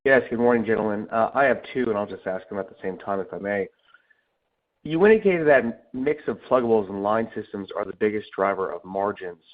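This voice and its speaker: A man's voice: fairly low pitch, expressive, brisk. A man speaking as a financial analyst, asking formal, polite questions on a conference call. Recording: thin telephone line.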